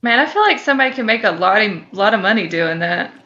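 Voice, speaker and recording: A woman's voice: mid-range voice, lively intonation, fast, sharp tone, lo-fi sound. A woman co-hosting a podcast, speaking informally, whether reading fan fiction aloud or bantering. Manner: informal, teasing, profane. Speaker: podcast co-host.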